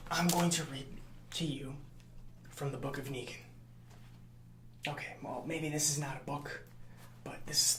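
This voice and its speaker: A man's voice: a little high, natural voice. A man acts out a mild, easily wounded right-hand man to a post-apocalyptic gang boss. He whispers and murmurs in ASMR roleplay style, stumbling over his words as he placates. The sound is roomy, with some echo around the voice. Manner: hesitant, stammering, mild-mannered, placating. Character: nice, easily hurt male assistant to a post-apocalyptic gang leader.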